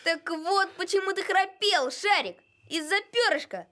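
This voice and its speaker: Little boy for animation, played by a woman: high voice, earnest, caring, gently bossy problem-solver. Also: unhurried, exaggerated voice. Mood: caring, explanatory. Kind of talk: animated cartoon acting.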